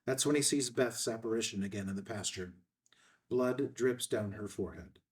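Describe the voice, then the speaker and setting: A man's voice: on the low side, moderate pace, recorded from a distance. A man reading scripted stage directions as the narrator of a screenplay table read.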